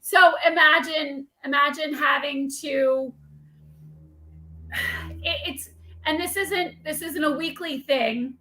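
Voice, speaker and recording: A woman guest co-hosting a podcast, chatty and eager, with a high-pitched voice. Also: fairly even intonation, slow, long pauses, bright, forceful tone, low-quality recording. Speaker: guest co-host. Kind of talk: casual chat.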